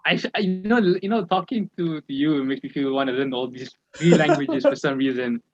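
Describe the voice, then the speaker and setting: A man's voice: fairly high pitch, very animated, a little fast. A young man co-hosting a casual student podcast, easygoing and hesitant, with many fillers. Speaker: podcast co-host. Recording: dull, lo-fi dry sound.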